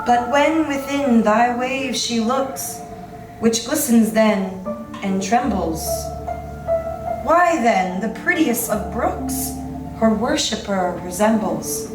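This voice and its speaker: A woman giving a solemn, measured, theatrical reading of dramatic poetry. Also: on the high side, lively intonation. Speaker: performer of poetry. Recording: roomy distant recording under loud music.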